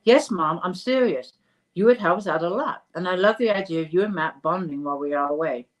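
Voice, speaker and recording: A woman reading the part of a warm, hurried adult daughter in a storybook, brisk and affectionate. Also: on the low side, lightly acted, low-quality recording.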